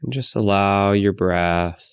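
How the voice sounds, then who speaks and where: A man leading a guided meditation in a gentle, unhurried way, leaving long pauses between phrases. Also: lowish voice, smooth, dry recording. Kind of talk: guided meditation, soothing instruction.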